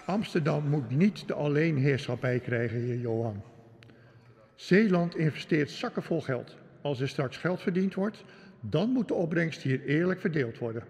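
An actor playing an insistent merchant delegate at an assembly in a historical radio play, heard in a reverberant hall. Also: male voice, a little high, expressive, moderate pace, gravelly, a little mellow. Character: insistent merchant delegate pleading his province's share. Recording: long reverb of a large hall.

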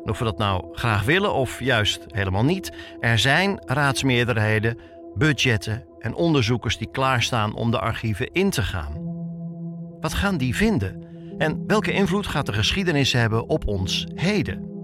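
A man's voice: medium pitch, very animated, moderate pace, over music. A man in his fifties narrating a personal podcast from a script, as its host and reporter. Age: 50s.

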